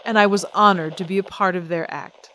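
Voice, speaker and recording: Actress as a low-voiced, poised starlet. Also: female voice, fairly even intonation, fairly quick, bright, forceful tone, slight background noise, low-quality recording. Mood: firm, dignified. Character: poised, vengeful female singing celebrity, coolly defiant. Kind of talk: old-time radio drama acting.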